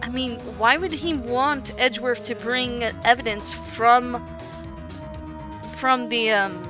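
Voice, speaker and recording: Female game streamer, fairly high voice, animated and exclamatory, clear game music underneath. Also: takes it slowly. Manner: animated, exclamatory, mild cursing. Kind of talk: livestream playthrough commentary, reading dialogue aloud. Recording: music playing behind voice.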